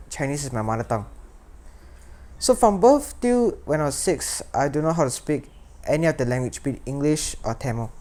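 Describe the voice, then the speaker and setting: A man's voice: a little high, darkish tone. Earnest Singaporean teenage boy. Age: teens. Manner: earnest, with frequent restarts and repeated words. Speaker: secondary school student. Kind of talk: personal talk to camera.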